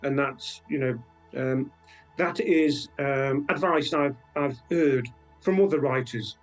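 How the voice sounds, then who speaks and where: A British man speaking as a guest author in a conversational interview, halting and reflective, often trailing into asides. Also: medium pitch, faint music behind, rough recording. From Britain.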